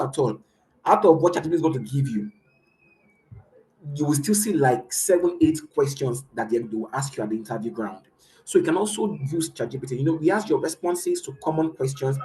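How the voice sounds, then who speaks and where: A man's voice: medium pitch, a little mellow, rough recording. Earnest Nigerian man giving career tips. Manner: repetitive, filler-heavy, earnest. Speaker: host giving career advice. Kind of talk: casual motivational talk.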